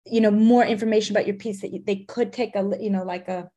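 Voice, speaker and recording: Hesitant, mellow-voiced woman interviewer. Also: mid-range voice, fairly even intonation, fast talker. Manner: hesitant, many restarts, earnest. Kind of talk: casual interview over video call.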